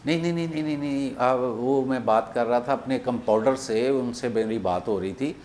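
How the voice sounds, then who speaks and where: A man with a Pakistani accent making a prank phone call, rambling and repeating himself in deliberately confusing improvised banter. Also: medium pitch, darkish tone, a little hiss or hum. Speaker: prank caller hosting a comedy show.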